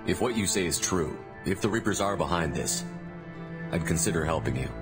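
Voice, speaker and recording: A man's voice: deep voice, animated, brisk, big-room sound, music in the background. A man voicing a firm, wary military commander in a video game, blunt and direct.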